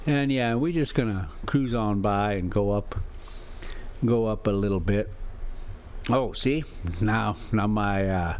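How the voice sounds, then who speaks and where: A man's voice: fairly low pitch, slow, pauses often, a little mellow, smooth. An American man chatting casually as a travel vlogger on a drive, rambling in a folksy, teasing, sarcastic way. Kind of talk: casual rambling chat and ranting.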